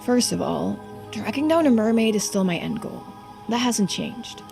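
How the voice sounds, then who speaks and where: A woman voicing an earnest, subdued young diver in a video game, speaking seriously and with quiet determination. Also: a little high, very animated, moderate pace, vocal fry, faint music behind. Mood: earnest, confiding.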